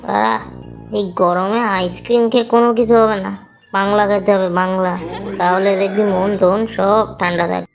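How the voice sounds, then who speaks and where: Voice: mid-range voice, steady tone, moderate pace, close to an everyday voice. An actor doing lazy cartoon loafer, whiny, exasperated, coarse comic bluster, music behind. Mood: scheming. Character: foul-mouthed lazy man griping about summer heat. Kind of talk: Bengali comedy cartoon voice acting.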